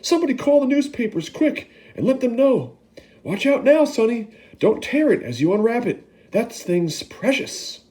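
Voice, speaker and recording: A man doing a fat shopkeeper, yelling with glee. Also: medium pitch, big pitch swings, a little slow, hardly any pauses, pitched above the performer's own voice. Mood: ecstatic shouting. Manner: yelling, overexcited. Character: fat excitable male shopkeeper.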